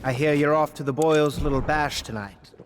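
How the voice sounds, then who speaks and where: A man's voice: fairly high pitch, brisk, close to an everyday voice. Guy doing a slippery polite aristocrat, game cutscene, bit of music underneath. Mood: sly, polite. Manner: affectedly polite. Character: genteel, evasive male aristocrat asking favors. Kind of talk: video game voice acting. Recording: faint music behind.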